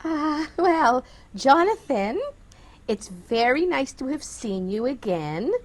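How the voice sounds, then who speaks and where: Radio drama old lady female actor: gentle, flustered aunt, high-pitched and unhurried, hesitant. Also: very animated, full character voice. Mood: flustered, polite. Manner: fluttery, hesitant. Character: sweet, dithering, kindly old aunt. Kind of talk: old-time radio play acting.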